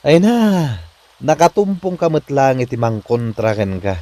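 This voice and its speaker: Casting: actor as reasonable, teasing male spouse, Filipino accent, average pitch, chiding comedy-drama delivery. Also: big pitch swings, unhurried, dark tone, dry recording. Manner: chiding, needling. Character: teasing, reasonable husband of a bossy woman.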